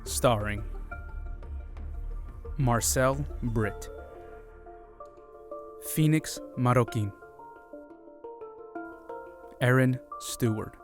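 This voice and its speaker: Male credits announcer, slow scripted delivery, medium pitch, clear music bed. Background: prominent music.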